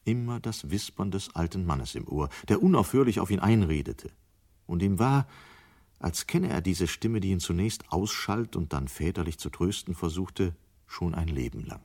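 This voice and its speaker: A man reading scripted narration for a 1970s German radio drama in a measured, sober manner. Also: deep voice, animated, a little mellow.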